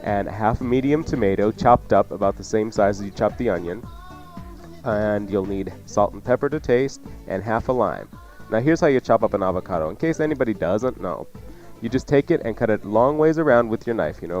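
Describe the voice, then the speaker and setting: A man's voice: on the low side, lively intonation, brisk, a little mellow, soft background music. A man hosting a radio cooking show, explaining recipes in a casual, folksy, jokey way. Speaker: cooking show host and chef. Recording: dull lo-fi radio recording.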